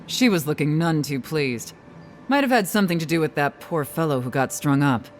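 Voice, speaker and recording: A man's voice: fairly high pitch, expressive, normal speed, natural voice. A man playing a chatty, knowing town informant in a video game, breezy and wry. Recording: background game music.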